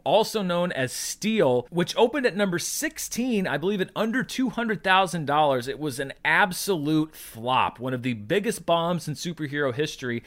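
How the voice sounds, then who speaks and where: A man with a slightly high voice delivers recap commentary as a podcast host. He talks conversationally and puts deliberate stress on the important words. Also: fairly bright tone. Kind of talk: box office recap commentary.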